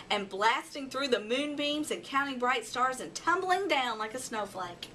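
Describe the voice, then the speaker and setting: A woman narrating a children's storybook in an expressive, dramatic way. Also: fairly high pitch. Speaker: storybook reader. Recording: distant mic, faint background noise.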